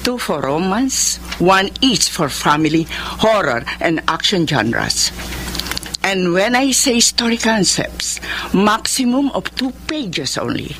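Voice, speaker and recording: An actress playing a harsh, demanding female film company boss in a Filipino radio drama, with a Filipino accent and an imperious, sharp manner. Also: mid-range voice, big pitch swings, takes it slowly, slight background noise, exaggerated voice. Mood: cold, condescending. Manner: imperious, sharp, switches between Tagalog and English. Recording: music bed under the voice.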